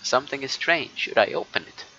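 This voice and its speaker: A man live streaming a visual novel, reading it aloud haltingly and muttering casual asides. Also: medium pitch, sing-song, pushed and bright. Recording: dull lo-fi mic with noise.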